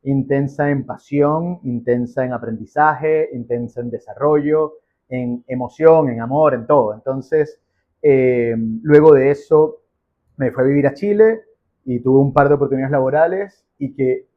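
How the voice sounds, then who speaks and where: A man's voice: medium pitch, unhurried. A man with a Venezuelan accent answering as an interview guest, explaining emphatically in a conversational way and repeating phrases. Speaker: podcast guest, business professional. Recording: muffled, phone-like narrow band.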